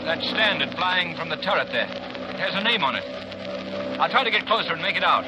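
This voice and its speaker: A man's voice: on the high side, lively intonation, brisk, natural voice. A man playing a gallant, urgent adventurer hero. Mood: intense. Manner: urgent, declamatory. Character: gallant man-about-town hero, pilot. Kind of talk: 1930s radio drama acting. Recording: old radio broadcast with hiss.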